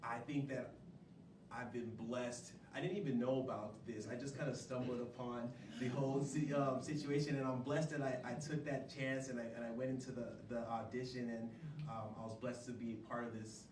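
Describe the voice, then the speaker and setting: A man gives an earnest interview as a cast member. His phrasing is halting and searching. He sounds distant in an echoey room with noticeable background noise. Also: mid-range voice, little pitch movement. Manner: halting, hesitant phrasing with pauses. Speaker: stage play cast member. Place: indoor venue before a studio audience.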